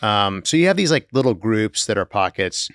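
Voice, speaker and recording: A man's voice: fairly low pitch, big pitch swings, moderate pace, a bit bright, clear, resonant tone, dry recording, studio quality. A middle-aged man co-hosting a podcast in casual, jokey conversation. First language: Turkish. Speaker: podcast co-host. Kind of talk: casual chat.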